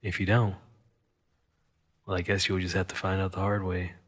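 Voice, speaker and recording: An actor playing a cynical, wisecracking Martian criminal fixer in an audio drama monologue, with wry, world-weary delivery and deliberate pauses. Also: male voice, sits low, completely flat delivery, fairly bright tone, husky. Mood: sly, boastful.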